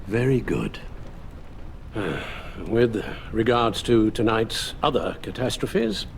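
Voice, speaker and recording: A man's voice: on the low side, expressive, slow pace, gravelly, slight background noise, heavily acted. An actor playing a loyal, anxious older British butler with a formal, fatherly manner in a video game. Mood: formal, concerned.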